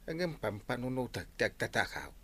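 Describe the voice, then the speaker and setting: Gravelly ilocano village captain man acting, comedy drama, really up and down, close dry sound. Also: medium pitch, very animated, moderate pace, a bit bright, no echo, exaggerated voice. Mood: coaxing. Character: village captain, mediating and admonishing comic official. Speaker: actor playing a village captain.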